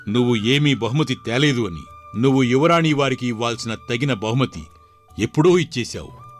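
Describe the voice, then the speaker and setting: A dignified king from a storybook tale, played by a man. He speaks formally and with authority, questioning and declaiming in a medium-pitched voice, with faint music behind. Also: moderate pace, close to an everyday voice. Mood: approving. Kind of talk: dramatised Telugu folk tale.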